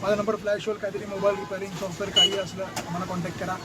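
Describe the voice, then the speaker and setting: A man's voice: sits high, level tone, racing. A man with a Maharashtrian Indian accent talking casually in an unboxing vlog, informally mixing in English words. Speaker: vlogger. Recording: very noisy, outdoor traffic-like background noise.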